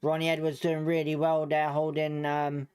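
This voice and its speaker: A man's voice: a little high, completely flat delivery, moderate pace, darkish tone, smooth. A man with a British accent giving informal live football commentary as a fan host, blunt, slangy and sweary in his reactions.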